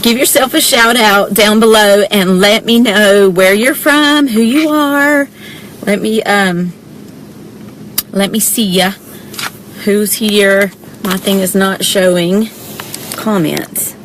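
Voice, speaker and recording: Southern gal from georgia going live from her car on her phone, chatty, lots of y'all, bit of noise behind. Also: medium pitch. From South Georgia, USA. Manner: chatty, warm greetings, frequent y'all, laughs often. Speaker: direct-sales presenter hosting a live stream. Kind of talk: casual livestream chat greeting viewers. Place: parked car. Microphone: phone held or mounted in car.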